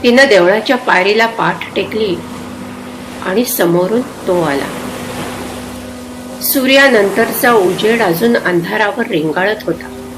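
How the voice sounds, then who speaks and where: A female narrator reads literary prose with dramatic, reflective expression. Her voice sits at a middle pitch, with clearly audible ambience in the background. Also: slow pace, long pauses, background noise.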